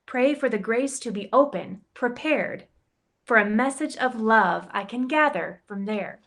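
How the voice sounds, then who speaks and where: Slow, playful woman reading a rhyming storybook. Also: fairly high pitch. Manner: playful, expressive rhyming delivery with voiced quotes. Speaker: campus ministry director reading a picture book. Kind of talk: storybook read-aloud in rhyme.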